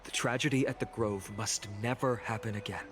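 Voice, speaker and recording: Man playing a young male swordsman, earnest, smooth, polite, mid pitch, anime game acting, music behind. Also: steady tone, fairly quick, a bit bright, natural voice. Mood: grave, determined. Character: earnest young heroic swordsman, former student, glib.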